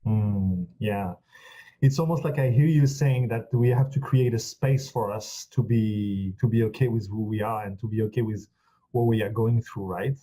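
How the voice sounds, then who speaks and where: A man from France with a French accent hosting an interview, speaking haltingly with frequent restarts and supportive asides. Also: lowish voice, warm tone, low-quality recording. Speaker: relationship coach, host.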